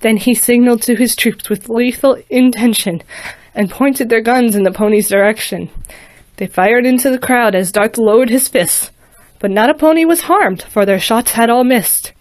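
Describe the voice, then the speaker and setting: A woman's voice: fairly high pitch, darkish tone, no echo. A woman casually reading a rhyming fan fiction story aloud, now and then stumbling and restarting a word. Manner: casual, slightly halting with restarts. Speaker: fanfiction reader.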